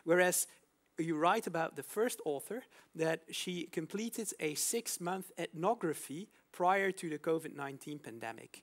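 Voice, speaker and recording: Halting male moderator, slightly high voice. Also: animated. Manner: halting, many fillers and restarts. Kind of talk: moderating an academic Q&A.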